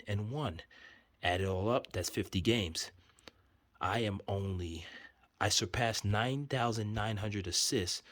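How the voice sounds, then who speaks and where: A man's voice: fairly low pitch, a bit bright, dry recording. Laid-back New man reciting stats. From New York City. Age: 30s. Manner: rambling, self-assured, stat-heavy. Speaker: vlogger. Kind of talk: casual spoken update.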